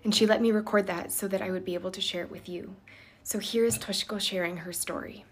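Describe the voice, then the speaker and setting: A woman's voice: mid-range voice, fairly even intonation, quick pace, slightly rough. A young woman whose first language is Japanese, speaking earnestly and directly to a phone camera in her living room. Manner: earnest, heartfelt direct address. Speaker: presenter introducing a guest. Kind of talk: talking to camera on social video. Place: indoor living room, on a sofa.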